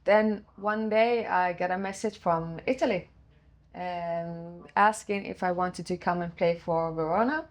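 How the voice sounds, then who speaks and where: A woman's voice: lowish voice, a little slow. A woman from Sweden, speaking English as a second language, telling her story casually in an interview with frequent fillers and restarts. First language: Swedish. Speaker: guest, former goalkeeper and goalkeeper coach.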